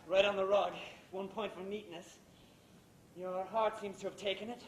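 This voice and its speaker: A man's voice: level tone, normal speed, natural voice. Man playing a sardonic scheming playwright, echoey stage. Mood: flippant. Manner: theatrical, sardonic. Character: smug, scheming middle-aged playwright husband, coolly ruthless. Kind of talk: live stage acting. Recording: echoey amateur stage recording, background rumble.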